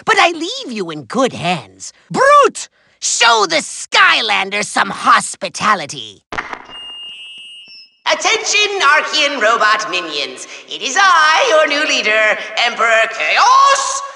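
A man playing a pompous, tantrum-prone little evil overlord in a video game, with a shrill, gravelly, bombastic delivery. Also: huge pitch swings, bright, forceful tone, no echo, exaggerated voice. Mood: smug, theatrical. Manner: bombastic, theatrical, drawn-out emphatic words. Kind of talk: video game villain acting.

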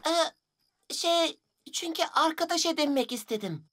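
A woman voicing a young, mischievous magical child who longs for friends, expressive and childlike, in a cartoon dub. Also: sits high, moderate pace. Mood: hesitant. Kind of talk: animated children's series dub. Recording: music behind the voice.

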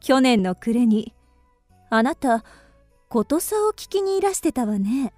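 An actress in a radio drama playing a forward young geisha, coy and probing, with old-fashioned feminine speech. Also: female voice, fairly high pitch, big pitch swings, unhurried, a little mellow, no echo, soft background music. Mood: coy, curious.

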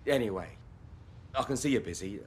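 A man's voice: fairly low pitch, animated, fairly quick, a little hiss or hum, close to an everyday voice. Chummy middle-aged sports agent, acted by a man. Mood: persuasive. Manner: matey, salesman-like. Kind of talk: acted video game dialogue.

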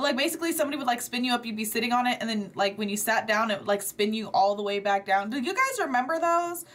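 A woman's voice: on the high side, a bit bright. Chatty young woman vlogger. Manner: chatty, swearing, lots of "like". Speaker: reaction video host. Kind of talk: casual reaction vlog chat. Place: small room in front of green screen.

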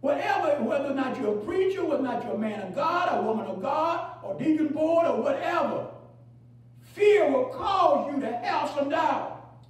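A man with a very high voice preaches in a booming, echo-filled space, heard from well back from the mic. He repeats phrases, punctuates them with praise and hallelujah, and swings from shouts down to low murmurs while calling for the church to respond. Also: lively intonation. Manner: fills pauses with "praise God" and "hallelujah"; calls for congregation responses. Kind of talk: preaching a sermon. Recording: very echoey, from far off.

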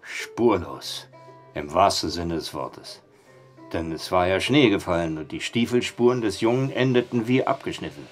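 A man's voice: deep voice, animated, normal speed, faint music behind. An actor playing a dry, skeptical male barkeeper in an audio drama. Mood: mysterious, matter-of-fact. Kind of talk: German audio drama acting.

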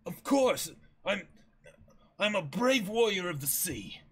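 A man's voice: high-pitched, very animated, slow pace, a bit bright, breathy edge, pitched above the performer's own voice, heavily acted. A man voicing a brave, desperate young sea warrior, urgent and earnest, in an amateur dramatic manga reading.

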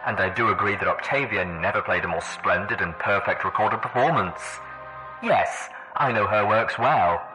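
A man's voice: fairly low pitch, moderate pace, music in the background, poor audio. A man voicing an older, wasteland-hardened stallion warlord with a pleasant, wry manner, heard over an intercom. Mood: suave, wry. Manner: pleasant, wry, mock-hospitable. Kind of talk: audiobook character voice.

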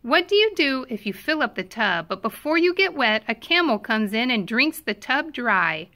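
Female picture book reader: high-leaning, bright and forward voice, expressive, animated storytime delivery. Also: normal speed. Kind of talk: expressive read-aloud of a children's storybook.

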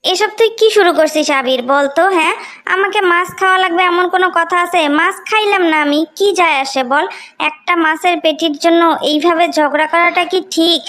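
A high female voice with a Bangladeshi accent , acted by a woman, plays a gentle cartoon sister. She is self-effacing and conciliatory, pleading to keep the peace. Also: fairly quick. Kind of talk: amateur cartoon story dubbing.

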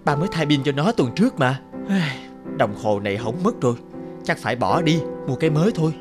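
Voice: mid-range voice, lively intonation, brisk, natural voice. An actor playing a practical father of a young child in a cartoon, with music behind. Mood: puzzled, resigned. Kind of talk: animated fairy-tale cartoon dubbing.

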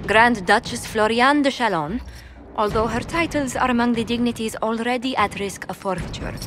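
A woman's voice: fairly high pitch, close to an everyday voice. A woman voicing a diplomatic court advisor in a fantasy game, with a formal, precise delivery. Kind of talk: cinematic fantasy game dialogue.

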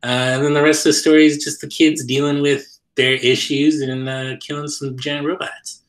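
A man's voice: fairly high pitch, level tone, moderate pace, low-quality recording. A man chatting casually as an interview guest on a video call, in a loose, slangy way peppered with "like". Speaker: interview guest, comic creator. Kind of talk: casual chat.

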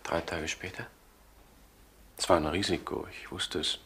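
An actor playing a distraught, lovesick middle-aged murder suspect in a crime radio drama, halting and defensive. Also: male voice, low voice, steady tone, fairly bright tone. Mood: subdued, confessing. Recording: noisy old radio drama recording.

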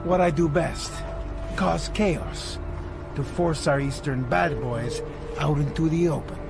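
An actor playing a tough, terse, cocky action hero in a video game, with a gravelly voice. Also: male voice, fairly high pitch, takes it slowly. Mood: cocky, dry. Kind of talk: video game cutscene acting. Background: music.

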